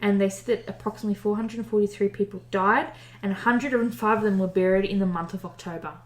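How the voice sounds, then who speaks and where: A woman's voice: mid-range voice, fairly even intonation, fast talker, roomy sound, rough recording. Chatty woman reading history notes. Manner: chatty, stumbles over old wording, laughs and swears at herself. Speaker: podcast co-host. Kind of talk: casual podcast, reading history notes aloud.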